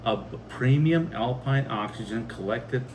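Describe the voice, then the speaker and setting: Male vlog co-host, average pitch, loose and halting storyteller, slight noise in the recording. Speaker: co-host of a family homestead channel. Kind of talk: casual rambling storytelling and chat. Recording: faint background noise.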